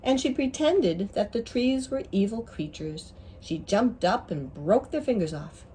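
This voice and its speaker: A woman reading a picture book aloud to young children in an expressive, deliberate way. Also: mid-range voice, slight background noise, poor audio. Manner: deliberate, pausing to ask listeners questions. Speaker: children's story reader.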